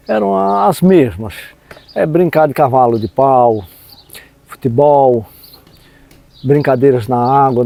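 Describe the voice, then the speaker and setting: A man in his sixties with a northeastern Brazilian accent, reminiscing informally as an interview guest in a halting way, often adding tag words and repeating himself. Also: medium pitch, creaky, warm tone. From rural northeastern Brazil. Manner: halting, frequent 'né' tags and repetitions. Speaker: interview guest, historian and teacher.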